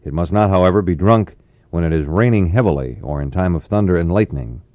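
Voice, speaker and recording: A low-pitched American man hosts a 1960s radio show with easygoing patter and folksy asides. The sound is a muffled, roomy AM aircheck from a studio, with his voice carrying some echo. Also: lively intonation, fairly quick.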